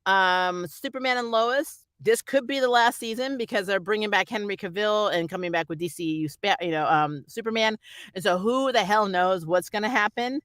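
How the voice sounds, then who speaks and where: Bright-voiced reviewer, dry close recording. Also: medium pitch, normal speed, dry recording. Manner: rambling, conversational, slangy, mildly exasperated. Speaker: YouTube TV series reviewer. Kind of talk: casual opinionated review chat.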